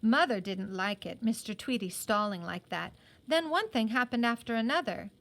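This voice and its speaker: Woman as a dreamy, high-voiced teenage girl. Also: animated, a bit bright. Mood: musing. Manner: musing, recounting plainly with pauses. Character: dreamy, matter-of-fact fifteen-year-old working girl.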